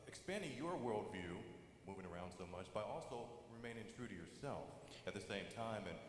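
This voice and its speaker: A man interviewing a guest, asking curious questions with hesitant, repeated rephrasing. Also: medium pitch. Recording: roomy hall with background noise.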